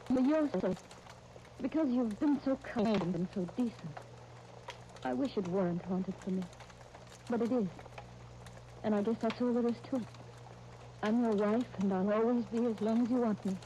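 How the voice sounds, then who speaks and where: An actress playing a gentle, haunted young wife, tender and restrained, in an old-time radio drama. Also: female voice, fairly high pitch, normal speed, long pauses, dull audio, slight background noise, lightly acted. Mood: sorrowful, resolute. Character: gentle, haunted young wife Molly, sorrowful and self-denying.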